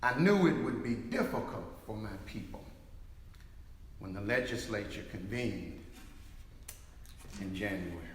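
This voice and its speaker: A man playing a dignified 1870s state senator, giving his account in a grave, measured dramatic reading. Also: lowish voice, animated, a little hiss or hum. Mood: resigned. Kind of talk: dramatic reading of historical testimony. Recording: echoey hall with long reverb.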